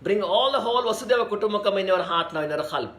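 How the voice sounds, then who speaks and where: A man leading a guided spiritual meditation, speaking slowly and soothingly and repeating chants and mantras. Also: sits high, expressive, fairly bright tone. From India. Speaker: meditation leader. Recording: echoey room.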